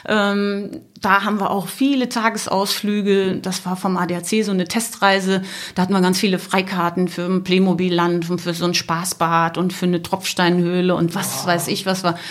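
Middle aged mom on a podcast, normal pitch, chatty storytelling. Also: female voice. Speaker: podcast guest, travelling mother. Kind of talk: casual anecdotal storytelling.

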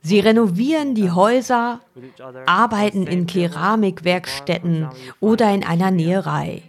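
A woman's voice: medium pitch, moderate pace. A woman narrating a travel vlog with enthusiasm and frequent fillers. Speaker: travel vlogger. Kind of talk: casual vlog chat and voice-over.

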